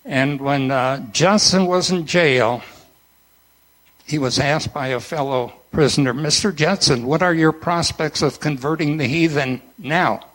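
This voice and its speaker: Male preacher, slightly high voice, sermon delivery with deliberate emphasis on key phrases. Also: fairly bright tone, poor audio. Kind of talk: sermon, commissioning address.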